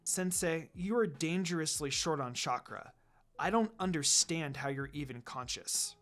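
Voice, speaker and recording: A man's voice: a little high, measured pace, a bit bright. An earnest young ninja medic girl, played by a man, giving firm clinical orders in a storybook reading. The delivery is serious and insistent, with music underneath. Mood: firm, concerned.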